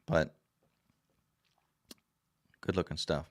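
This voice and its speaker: A man hosting a casual livestream and reading viewer comments aloud, his voice raspy after a sore throat, rambling in a folksy way with many fillers. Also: drawn-out words, dry recording, studio quality. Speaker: livestream host. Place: home desk. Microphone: desk camera.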